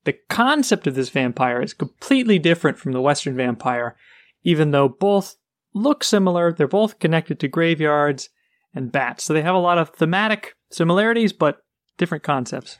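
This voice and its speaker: A man's voice: fairly high pitch, animated, normal speed, no echo, studio quality. A man co-hosting a book podcast, explaining characters and history in an informative way. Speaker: podcast co-host. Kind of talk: casual book chat.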